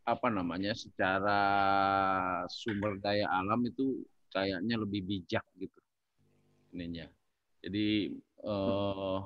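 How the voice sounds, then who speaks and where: Male panelist, low voice, halting and thoughtful conversational delivery, close dry sound. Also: no echo, muffled recording. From Indonesia. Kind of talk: casual discussion, thinking aloud.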